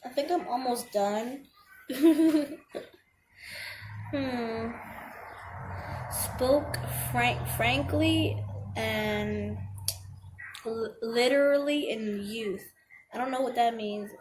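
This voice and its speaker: A young woman with a girlish voice reads a checklist aloud and comments on it hesitantly, stumbling over words and filling pauses. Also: on the high side, low-quality recording. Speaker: vlogger. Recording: home video with clear background noise.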